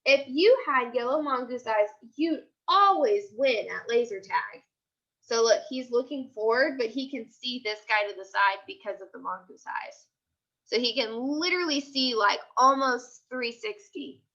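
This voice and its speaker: An American woman with a high, bright voice reads aloud as an elementary teacher. She explains things simply and repeats key points for young listeners. The recording is a little dull and lo-fi. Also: lively intonation, a little slow. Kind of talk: teaching read-aloud of a picture book with questions and asides.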